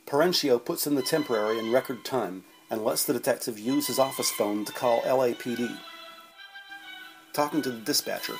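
A man narrating a detective audio drama in measured, descriptive present-tense storytelling. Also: mid-range voice, vocal fry, low-quality recording. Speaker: podcast narrator. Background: music.

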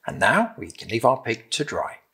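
A middle-aged British man gently and encouragingly demonstrating a craft step by step in simple instructions. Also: medium pitch, wildly swooping pitch, moderate pace, husky. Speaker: craft presenter. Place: indoor room with timber-framed walls, at a table.

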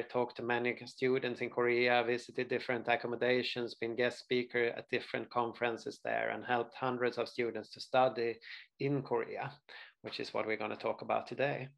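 A man in his forties from Sweden with a Swedish accent, presenting a study abroad webinar in an earnest way, often pausing with uh and restarting words. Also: mid-range voice, creaky. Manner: earnest, frequent uh fillers and restarts. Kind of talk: webinar presentation over slides.

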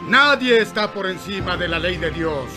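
A man playing a fervent desert prophet in an animated Bible film, declaiming commands like a preacher. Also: high-pitched, normal speed, over music. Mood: fervent, commanding.

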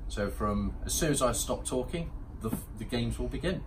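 A man's voice: mid-range voice, expressive, normal speed. A middle-aged man giving a casual product demonstration as a shop presenter, recorded indoors in a shop a few feet from the camera. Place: motorcycle accessories shop, indoors, at the counter. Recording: clear shop background noise.

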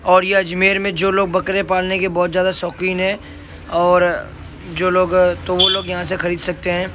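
A man's voice: high voice, monotone, moderate pace, bright, forceful tone, slight background noise. A man vlogging an interview on a goat farm, eagerly affirming what he hears and addressing his viewers. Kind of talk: casual vlog interview.

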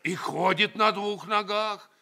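An actor playing a shrewd, curious old giant uncle in a radio play, folksy and drawling. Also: male voice, high voice, takes it slowly, creaky, bright, forceful tone, heavily acted. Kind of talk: Soviet radio play acting.

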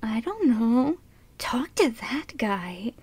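A woman voicing an indifferent cartoon hospital receptionist with a deadpan drawl. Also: a little high, slow pace, husky, exaggerated voice. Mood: bored, indifferent.

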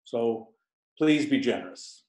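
An older man makes a scripted church announcement. His pitch is medium. The recording is roomy and echoey, like a computer microphone at home. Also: animated, takes it slowly, darkish tone. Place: indoor room at home.

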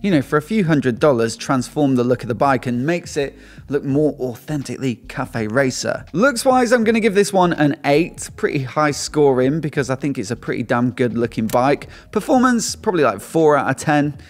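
A man's voice: medium pitch, normal speed. A man, hosting an upbeat scripted motorcycle review and sponsor read at a studio desk mic. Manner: upbeat, lively scoring delivery. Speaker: motorcycle channel host. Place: small indoor studio with shelves. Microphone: desk mic on stand in front of him.

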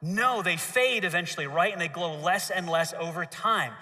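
A man's voice: sits high, normal speed. A man in his thirties giving a children's sermon on a church stage, asking the audience to repeat after him. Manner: lively, gestures and asks the audience to repeat. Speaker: pastor. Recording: hall reverb.

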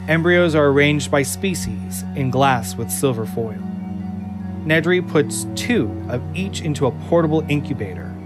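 Male audio drama narrator over music. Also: medium pitch.

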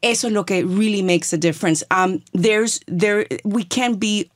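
A woman's voice: mid-range voice, normal speed, no echo, clean studio sound. A middle-aged woman from the Dominican Republic with a Dominican accent, speaking earnestly and encouragingly as a podcast guest, repeating phrases for emphasis. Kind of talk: podcast interview, mixing Spanish and English.

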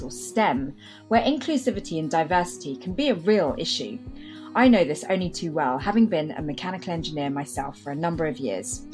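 Woman podcast host, low voice with a lively swing, faint music behind, enthusiastic. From half Sri Lankan, half Malaysian. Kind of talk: scripted intro then casual interview.

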